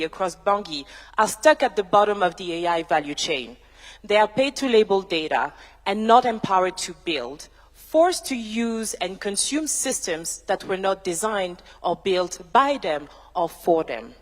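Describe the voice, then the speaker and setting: A woman, a tech founder giving a measured, emphatic panel answer built from rhythmic lists. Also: medium pitch. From France. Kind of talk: prepared keynote-style panel answer. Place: large university hall, on stage. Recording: reverberant large hall.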